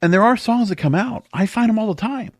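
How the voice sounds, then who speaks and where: A man's voice: high-pitched, animated, fairly quick, no room sound, clean studio sound. A man hosting a podcast, giving casual solo commentary in an emphatic way, full of rhetorical questions. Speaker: podcast host.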